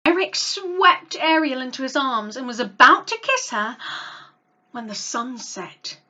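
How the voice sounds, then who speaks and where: Animated, bright-voiced woman storyteller. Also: high-pitched, a little slow. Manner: dramatic, animated delivery for young listeners. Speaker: storyteller, channel host. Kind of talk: expressive children's read-along storytelling.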